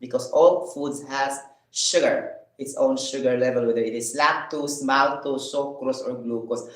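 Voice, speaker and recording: A man's voice: mid-range voice, fairly even intonation, unhurried, roomy sound, poor audio. A man chatting casually in a vlog while he eats, rambling with fillers, asking himself questions and laughing. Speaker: vlogger.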